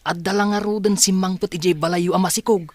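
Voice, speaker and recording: Man playing a bantering, highish-voiced young buddy. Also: fairly even intonation, fast, a little mellow, no echo. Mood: teasing. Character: bantering young male friend of a bachelor. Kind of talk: dialogue in an amateur audio drama.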